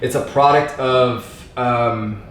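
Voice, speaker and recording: A man in his thirties from Southern California, a podcast guest talking casually in a relaxed, rambling way with plenty of fillers and slang. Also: mid-range voice, slow pace, slight background noise. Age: 30s. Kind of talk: casual conversation. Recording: echoey room.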